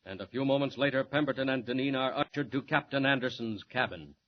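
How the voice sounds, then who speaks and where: Dramatic old-time radio narrator man. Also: mid-range voice. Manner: measured, dramatic storytelling. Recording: dull old radio recording with clear hiss.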